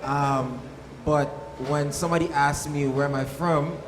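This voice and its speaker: A man's voice: fairly high pitch, fairly even intonation, a little slow. A man from Harlem, a soca artist, answering casually as part of a panel. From Harlem, New York. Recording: echoey room, faint background noise.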